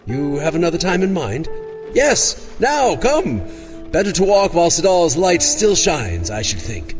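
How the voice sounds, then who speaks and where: A man's voice: a little high, a little slow, faint music behind, pitched above the performer's own voice. A man voicing an eager, earnest and devout male cleric in a fantasy audio drama. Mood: eager, urgent. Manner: animated, earnest, exclamatory.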